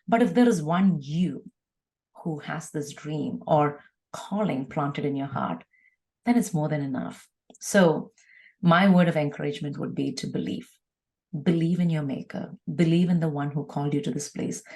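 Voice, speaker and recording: Low-pitched woman, earnest. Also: darkish tone. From India. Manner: earnest, emphatic, with rhetorical repetition. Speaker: podcast guest, ministry leader. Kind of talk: conversational interview, encouraging testimony.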